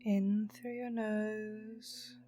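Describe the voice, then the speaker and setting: A woman narrating a guided meditation in a soothing, hushed manner with breathy phrase endings. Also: medium pitch, little pitch movement, extremely slow. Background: meditation music.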